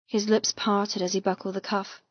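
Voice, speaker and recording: A woman reading a first-person romance audiobook with intense, expressive, dramatic delivery. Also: mid-range voice, normal speed, no echo, lo-fi sound. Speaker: audiobook narrator.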